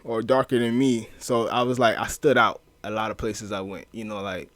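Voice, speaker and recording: A man's voice: on the low side, moderate pace, no room sound. Man, podcast guest, casual profane chat, filler-heavy, dry close sound. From US inner city, moved to Louisiana. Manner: loose, profane, lots of fillers, animated retelling.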